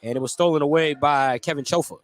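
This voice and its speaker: A man with a notably high voice calls a basketball game live. He works as the play-by-play announcer, following the action in short radio phrases with a light, joking touch. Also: fast talker, slight background noise. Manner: jokey, often chuckling.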